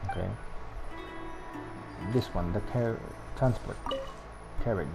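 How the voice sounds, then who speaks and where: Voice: medium pitch, slow pace, music in the background. A Filipino-accented streamer giving casual game commentary, reading lines aloud, often correcting themself and making giggly asides. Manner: self-correcting, apologetic about pronunciation, giggly asides. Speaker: gameplay streamer.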